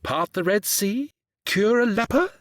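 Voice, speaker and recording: An actor playing a sarcastic, put-upon office official in an audio drama, mock-obliging and wordy. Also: male voice, sits high, lively intonation, unhurried, no echo, studio quality.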